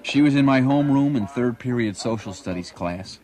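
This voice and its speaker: A man's voice: medium pitch, expressive, fairly quick, a little mellow, natural voice. An American actor playing a grown man who narrates memories of his boyhood crush in a wry, nostalgic, dramatic way. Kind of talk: scripted first-person narration.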